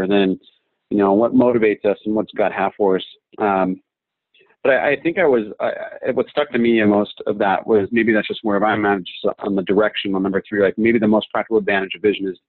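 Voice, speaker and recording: Fast-talking man on a dull call. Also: lowish voice, no room sound. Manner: rambling, thinking aloud. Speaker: class participant. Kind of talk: casual reflective discussion. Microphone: video call. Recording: dull, lo-fi video call audio.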